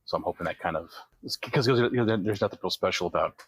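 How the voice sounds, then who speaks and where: A man with a deep, slightly rough voice plays a tabletop role-playing game. He narrates his character's actions in a casual, conversational way. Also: fast, dry recording.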